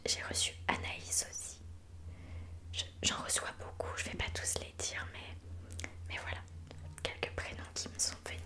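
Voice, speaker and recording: A woman playing a mystical female fortune teller in a whispered ASMR roleplay, speaking slowly and soothingly and calling listeners darling. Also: pushed and bright.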